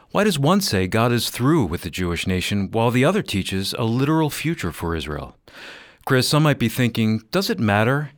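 A man working as a radio announcer, delivering a scripted promotional read with clear, polished enunciation. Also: medium pitch, no room sound, clean studio sound. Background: music.

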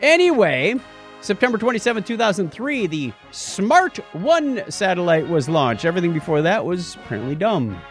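A man with a fairly high, very animated voice co-hosts a casual podcast. He moves between reading items aloud and tossing in jokey asides and wordplay, with faint music in the mix. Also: normal speed. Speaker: podcast co-host. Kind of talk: casual banter mixed with reading listener mail and history items.

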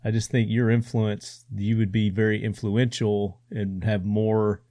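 A man's voice: on the low side, little pitch movement, a little slow, dark tone, no echo, clean studio sound. A man hosting a podcast, agreeable and affirming, chiming in with short remarks. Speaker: podcast host. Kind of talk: podcast conversation.

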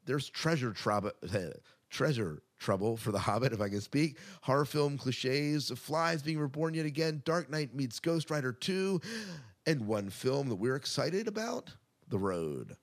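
A man's voice: medium pitch, animated, measured pace. A man hosting a podcast in a chatty, casual way, part scripted and part off the cuff, with upbeat announcing. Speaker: podcast host.

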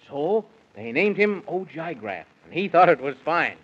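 Muffled high-voiced man telling a rhymed radio tale. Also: sing-song, a little slow, a bit bright, husky. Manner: theatrical sing-song storytelling. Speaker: narrator. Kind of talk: rhymed scripted narration, 1930s radio storytelling. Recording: dull, muffled 1930s radio recording.

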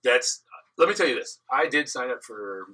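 A male podcast host holds forth with animated stories and confident opinions. He has a bright voice at a middling pitch for a man. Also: moderate pace. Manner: animated, storytelling, lecturing tone. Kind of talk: casual chat.

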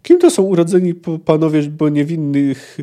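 A man's voice: on the high side, moderate pace, mellow, close to an everyday voice. A man voicing a pompous, overconfident poet in a dramatic novel reading, with a haughty, declamatory manner.

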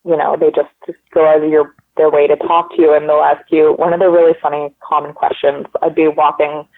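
A woman talks casually as an interview guest, with a slightly gravelly, fry-tinged voice. She rambles along upbeat, full of "like" and "um". It all comes through a thin, tinny phone line. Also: low-pitched, little pitch movement, a little fast. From Canada. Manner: rambling, many likes and ums, upbeat.

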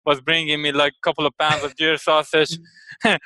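A man's voice: a little high, level tone, moderate pace, bright, forceful tone, no room sound, low-quality recording. A man in his thirties from Iran, a guest chatting casually in an interview, laughing often and checking in with "correct?". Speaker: guest, company founder.

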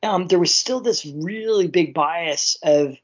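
A man's voice: fairly high pitch, slow pace. A middle-aged man with a Canadian accent talks in an effusive, rambling way, like a guest in a casual interview. His sentences loop and restart with plenty of conversational filler. The audio is slightly dull and lo-fi. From small town near Vancouver, Canada. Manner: effusive, rambling, frequent "you know".

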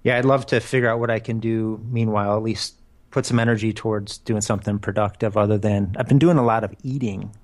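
A man's voice: on the low side, steady tone, fast. A man co-hosting a running podcast, chatting casually and joking about himself. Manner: joking, self-deprecating. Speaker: podcast co-host. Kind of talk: casual chat.

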